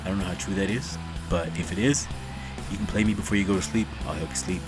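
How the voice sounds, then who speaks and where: A man's voice: low voice, fast, over music. A man hosting a reaction video, talking in a chatty, rambling, self-deprecating way. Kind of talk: casual rambling commentary.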